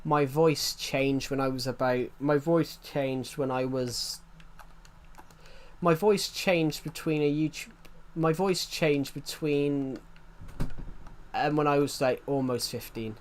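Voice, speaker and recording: A man's voice: fairly high pitch, takes it slowly. A man in his twenties streaming, chatting casually and rambling, saying each phrase twice before moving on. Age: 20s. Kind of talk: rambling casual livestream chat.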